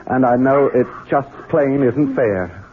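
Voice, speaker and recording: An actor playing a resentful, devious man in a radio drama, excitable and falsely sweet. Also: mid-range voice, slow, a little hiss or hum. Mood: smoothly devious. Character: resentful, scheming Southern husband and coldly devious son. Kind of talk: 1970s radio drama acting. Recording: muffled, narrow-band old radio recording.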